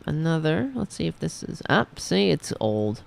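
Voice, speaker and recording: A woman hosting a political talk livestream, hoarse after a long show, rambling and sarcastic as she talks to her chat. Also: low-pitched, sing-song, moderate pace. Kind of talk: casual livestream commentary.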